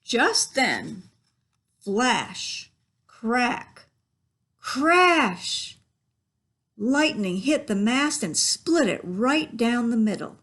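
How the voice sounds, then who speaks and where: Slow, high-voiced woman reading a picture book aloud. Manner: expressive, talks to young listeners about pictures. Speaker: library storytime reader. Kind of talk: picture-book read-aloud with chatty asides.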